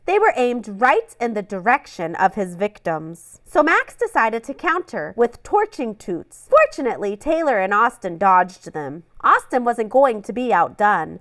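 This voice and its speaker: A woman hosting a children's read-aloud, narrating a storybook expressively with dramatic emphasis. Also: on the high side.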